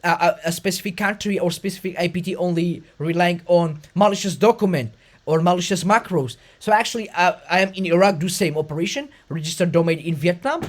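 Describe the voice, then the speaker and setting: High-voiced host, restarting. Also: male voice. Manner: fast, effortful talk with frequent fillers and restarts. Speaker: podcast host. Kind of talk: conversational interview.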